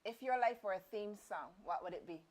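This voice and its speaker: A woman's voice: mid-range voice, animated, a little fast, a little mellow. A woman interviewer who keeps up short, encouraging affirmations and speaks as a fan of her guest. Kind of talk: casual interview.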